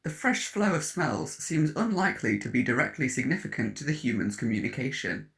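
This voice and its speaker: A man's voice: medium pitch, lively intonation, a little fast, creaky, fairly bright tone, husky, full character voice. Man acting a cold, formal alien war android. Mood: cold, menacing. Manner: formal, clipped, clinical speech. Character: cold menacing alien war android posing as teenage boy. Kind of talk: audio drama acting.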